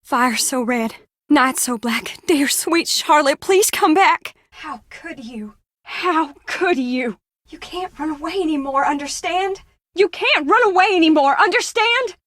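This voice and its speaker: Unhinged, haunting woman for a mystery game: high voice, slow drawn-out delivery, pleading with menace, dry studio sound. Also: lively intonation, a bit bright, no echo. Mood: mournful calling, then menacing anguish. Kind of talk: dramatic game voice acting.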